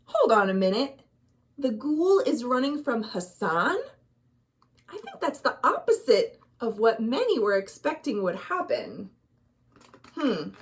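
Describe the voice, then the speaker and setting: An American woman narrates for children in a high, very animated voice. She takes her time, leaning on words with dramatic emphasis as a librarian at storytime would. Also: measured pace. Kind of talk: expressive children's storybook narration with questions to listeners.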